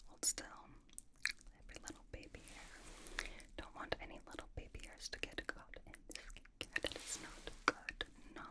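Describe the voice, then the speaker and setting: A woman's voice: normal speed, long pauses, bright, forceful tone. A woman playing a blunt, doting young pop idol in a whispered ASMR roleplay, with a casual, dry, slangy delivery. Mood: fussing, gentle.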